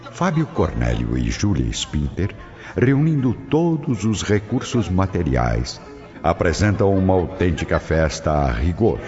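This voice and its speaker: Very deep Brazilian man narrating dramatically. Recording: dull lo-fi audio under loud music. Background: music.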